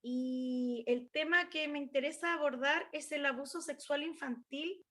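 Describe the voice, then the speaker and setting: Chilean woman, doctoral student, fairly high voice, slow and careful, joining from a video call. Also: a bit bright. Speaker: educational psychologist, doctoral student.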